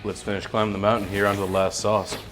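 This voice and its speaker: A man's voice: lowish voice, flat delivery, fast, music in the background. A man hosting an interview show in a casual, conversational style.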